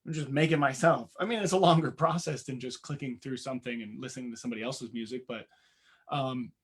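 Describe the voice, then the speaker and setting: Raspy young guy chatting in an interview, kinda humble, lots of ums and restarts, middle pitch. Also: fast. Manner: modest, rambling, many fillers and restarts. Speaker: interview guest, musician. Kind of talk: casual interview chat.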